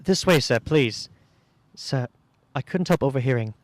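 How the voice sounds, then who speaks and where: A man's voice: mid-range voice, lively intonation, unhurried, dry recording, natural voice. A man playing a meek, kindly clerk in a radio play, deferential and hesitant. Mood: timid, obliging. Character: meek, humble, kindly male clerk, eager to please.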